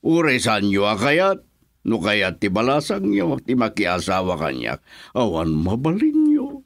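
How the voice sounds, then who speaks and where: An actor playing a brazen, smug rich suitor in a radio drama, taunting, with a Filipino accent. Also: male voice, fairly low pitch, wildly swooping pitch, unhurried, dry recording, clean studio sound, exaggerated voice. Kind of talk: Ilocano radio drama acting.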